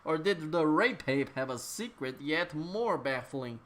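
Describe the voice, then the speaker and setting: A woman's voice: low voice, expressive, measured pace. A woman narrating absurd comic fiction as a dramatic reading, in a mock-serious manner. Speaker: narrator, reader.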